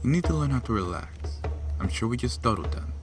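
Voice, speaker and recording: A jolly, unflappable giant of a god, acted by a man for an audio drama. The pitch swings widely as he teases in a hearty, leisurely way, over background music. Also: expressive, brisk, close to an everyday voice. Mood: relaxed, mellow. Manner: booming, laughing, leisurely teasing.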